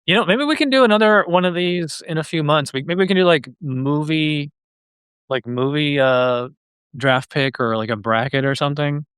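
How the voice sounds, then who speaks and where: Clear-voiced man hosting a casual podcast. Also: on the high side, moderate pace, fairly bright tone, no echo. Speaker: podcast host.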